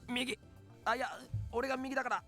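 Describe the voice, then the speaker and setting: A man's voice: very high-pitched, little pitch movement, very fast, sharp tone. An actor playing a bossy, theatrical young man in a Japanese visual novel, urging and giving orders. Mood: urgent, commanding. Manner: commanding, urging. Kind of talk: Japanese game voice acting. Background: game music.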